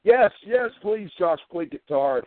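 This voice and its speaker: A man's voice: sits high, lively intonation, unhurried. A man hosting a live call-in chat line show, chatty and playfully announcing acts while scolding rowdy callers. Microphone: phone line. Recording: tinny phone line with loud music behind.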